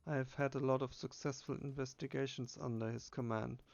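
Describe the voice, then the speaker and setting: Gravelly man narrating a game playthrough. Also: mid-range voice, fairly even intonation, brisk, darkish tone, no room sound, highs cut off. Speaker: gamer reading game text aloud. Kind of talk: casual game playthrough with read-aloud dialogue.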